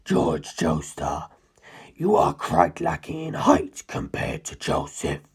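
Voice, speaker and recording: A man voicing an ancient, menacing inhuman being in a dramatic story, gravelly and breathy, with grandiose, condescending speech. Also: huge pitch swings, slow pace, heavily acted. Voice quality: very deep, gravelly, husky, breathy. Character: ancient, menacing, superior male non-human predator musing on humans. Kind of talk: dramatic audiobook character reading.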